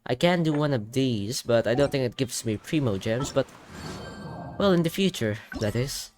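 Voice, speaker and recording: A young man giving casual game reaction commentary, excitable and thinking aloud. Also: mid-range voice, normal speed. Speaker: gaming YouTuber. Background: game music.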